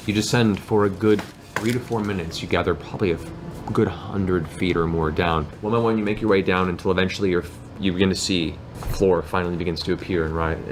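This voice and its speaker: Guy running a tabletop game, lowish voice, descriptive storytelling, noisy room behind him. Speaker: game master. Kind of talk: improvised narration of a tabletop game. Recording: clearly noisy room ambience.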